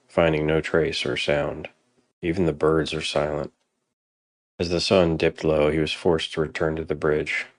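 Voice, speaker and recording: A man narrates a dramatic scripted tale. His voice sits extremely low, with a heavy creak in it. The sound is dry, with no room around it. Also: no echo.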